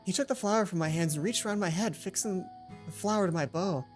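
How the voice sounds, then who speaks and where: Woman narrating over clear background music. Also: fairly low pitch, quick pace. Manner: performs dialogue, breaks off to comment. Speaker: let's play host reading a visual novel aloud. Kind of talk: expressive read-aloud narration with casual commentary. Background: game music.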